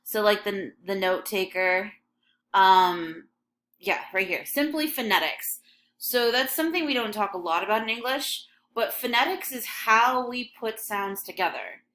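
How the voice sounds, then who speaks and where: A woman's voice: medium pitch, a little slow, bright, forceful tone, slight background noise. A young woman from Michigan with a slight Michigan accent, teaching an English lesson in a casual, chatty way full of ums and likes. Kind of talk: recorded classroom lesson over a scrolling text.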